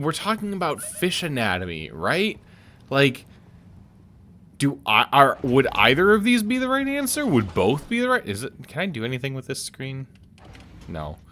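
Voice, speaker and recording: A man casually commenting on a video game as he plays, thinking aloud and halting with many restarts. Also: medium pitch, sharp tone, a little hiss or hum. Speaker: let's play streamer.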